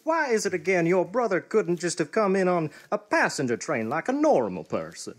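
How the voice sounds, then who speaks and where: Man playing a bewildered mayor, animated pitch. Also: normal speed, exaggerated voice. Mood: puzzled. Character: bewildered, put-upon small-town mayor, henpecked husband. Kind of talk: comic live radio theatre. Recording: noisy live stage recording.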